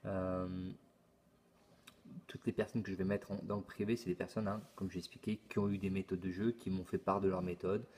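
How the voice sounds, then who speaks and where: A middle-aged man with a low, monotone voice walks through a website in a casual explainer. He talks in a halting way, restarting phrases and filling pauses with hesitations. The delivery stays plain and unhurried. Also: slightly rough. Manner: halting, many repeated words and "euh" fillers. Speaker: YouTube channel host. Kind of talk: casual screen-recorded forum walkthrough.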